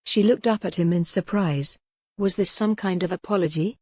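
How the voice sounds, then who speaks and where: A woman's voice: on the low side, moderate pace, darkish tone, slightly rough, no echo, studio quality. A woman reading scripted fiction as a dramatic audiobook narrator.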